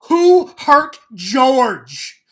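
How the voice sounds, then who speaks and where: A woman playing a simple, trusting male American ranch laborer in a dramatic reading, speaking in earnest, halting rural vernacular. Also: sits high, big pitch swings, a bit bright, exaggerated voice. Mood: agitated, angry. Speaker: actor.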